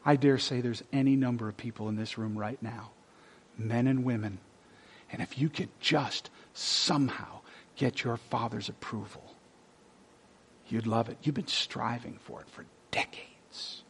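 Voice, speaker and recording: A male pastor preaching a sermon, reading scripture loudly and dropping to soft, hushed whispers. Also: mid-range voice, steady tone, measured pace, husky. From Texas.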